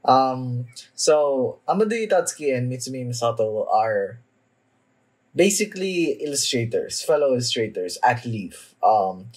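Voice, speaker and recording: A young man hosts a casual reaction video, speaking at a markedly slow pace. His delivery is halting and hesitant, with words often repeated as he goes. The pitch sits in an average male range. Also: sing-song, darkish tone. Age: young adult. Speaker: anime reaction video host. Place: small room at home, indoors.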